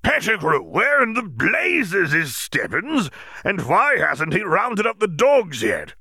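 A man's voice: high voice, wildly swooping pitch, takes it slowly, sharp tone, breathy edge, no echo, studio quality, voice pushed up, full character voice. A man voicing an effusive, blustering male sports official in an audiobook story. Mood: indignant.

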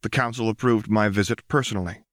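A man's voice: on the low side, fairly even intonation, fairly bright tone, no echo, clean studio sound, natural voice. Man voicing a poised, formal female operative. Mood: crisp, respectful. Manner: polite, formal, measured diction.

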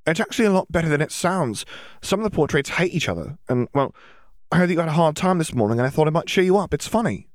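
Man putting on a high-pitched chatty schoolgirl witch. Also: animated, fast talker, no room sound, studio quality, lightly acted. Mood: friendly, eager. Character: friendly, forward young redheaded schoolgirl witch. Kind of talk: audiobook character voice.

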